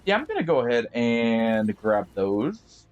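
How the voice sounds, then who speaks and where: A man streaming a game live, thinking aloud with pauses and fillers and chatting to his viewers. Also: mid-range voice, sing-song, dull audio, soft background music. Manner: friendly sign-off to viewers. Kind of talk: live gameplay commentary.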